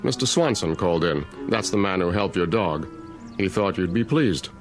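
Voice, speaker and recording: A man's voice: low voice, expressive, soft background music. A man playing an unflappable, formally polite small-town mayor with veiled menace in an audio drama. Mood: smoothly courteous. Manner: formal, courteous, measured.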